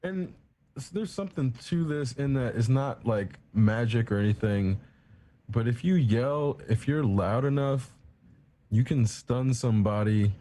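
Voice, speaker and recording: A man's voice: medium pitch, a little slow, warm tone. A man in casual chat, explaining at length in a rambling, profane, self-assured way. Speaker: podcast co-host.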